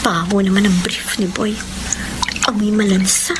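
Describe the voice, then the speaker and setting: An actress playing a suspicious, hurt young wife in a Filipino radio drama, emotional and accusing, with a Filipino accent. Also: female voice, medium pitch, flat delivery, normal speed, close to an everyday voice. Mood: worried, suspicious. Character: suspicious, hurt young wife confronting her cheating husband. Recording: noisy radio drama with background ambience.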